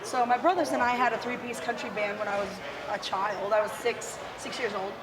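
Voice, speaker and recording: A woman from South Dakota with a gravelly, raspy voice, chatting casually and laughing now and then as an interview guest. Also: fairly high pitch, fairly even intonation, a little fast, a loud crowd, rough recording. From South Dakota, USA. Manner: chatty and self-deprecating, laughs often. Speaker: musician guest. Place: outdoors at a windy motorcycle expo.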